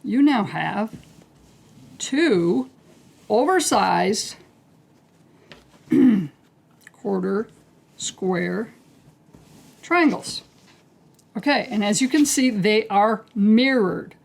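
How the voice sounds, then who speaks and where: A woman teaching a quilting technique step by step, calm and unhurried, clearing her throat as she is losing her voice. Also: mid-range voice. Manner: calm, unhurried, talks through each step as she works. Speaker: quilting instructor. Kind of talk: step-by-step craft tutorial.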